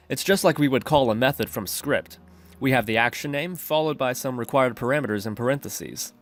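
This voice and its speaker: A man with a medium-pitched voice presents a step-by-step software tutorial. His delivery is methodical and instructional, with faint music playing behind him. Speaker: programming tutorial host. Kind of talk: scripted tutorial narration.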